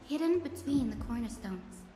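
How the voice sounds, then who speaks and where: An actress voicing a young woman companion in a video game, recounting events in an articulate, measured storytelling way. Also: sits high, lively intonation, mellow, slight background noise. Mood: thoughtful, confiding. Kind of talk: scripted game dialogue, storytelling narration. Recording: echoey reverb with music behind.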